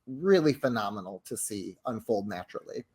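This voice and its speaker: A man's voice: lowish voice, lively intonation, brisk, a little mellow, breathy edge. A man on a panel chatting casually in an earnest, reflective way. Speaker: panelist on a behind-the-scenes show. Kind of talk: casual chat.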